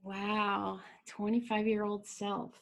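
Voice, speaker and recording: Middle-aged woman author as guest; average pitch, friendly chatter, frequent laughs. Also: level tone, slow, vocal fry. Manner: chatty, laughs often, self-deprecating. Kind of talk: casual interview chat.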